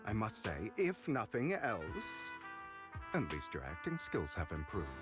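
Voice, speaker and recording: An actor playing a sly, smug young trickster in a video game, speaking with teasing mock politeness and theatrical flair. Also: male voice, lowish voice, huge pitch swings, unhurried. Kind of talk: dramatic video game acting. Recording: game music underneath.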